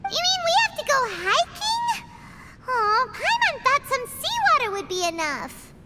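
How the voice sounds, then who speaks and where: A tiny floating fairy companion with an extremely high, squeaky voice that leaps wildly up and down. She is excitable and chatty, bouncing between whines and cheers in a video game, with loud clicks in the game audio behind her. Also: measured pace, heavy background noise, heavily acted. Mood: whiny. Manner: bouncy, whiny, exclaiming.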